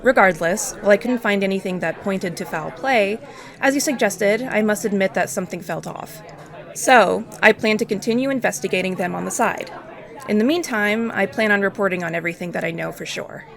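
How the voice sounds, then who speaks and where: A woman's voice: mid-range voice, brisk, creaky, crowd noise, close to an everyday voice. A woman playing a firm, protective newspaper reporter in an audio drama, questioning directly. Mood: matter-of-fact. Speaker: reporter character.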